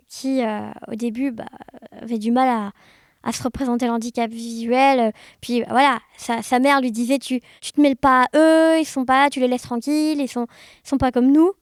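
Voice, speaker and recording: Female podcast guest, high voice, dry close sound, conversational and enthusiastic delivery. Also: normal speed, no room sound. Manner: enthusiastic, expressive. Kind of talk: casual conversation.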